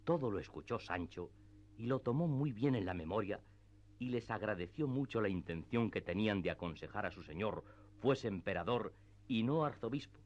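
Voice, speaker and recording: A man's voice: fairly low pitch, muffled recording. A man narrating a literary radio drama from a script in an archaic storytelling style.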